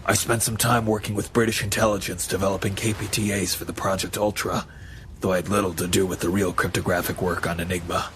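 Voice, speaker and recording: An actor playing a grave, seasoned crime fighter with formal, earnest speech in a radio superhero drama. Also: male voice, sits low, flat delivery, quick pace, fairly bright tone, slight background noise. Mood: courteous, reserved.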